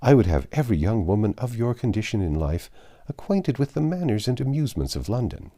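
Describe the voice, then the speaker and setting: A man's voice: on the low side, big pitch swings, a little fast, mellow, voice pushed up. A man voicing a fond, coaxing mother. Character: warm, fond older mother, woman.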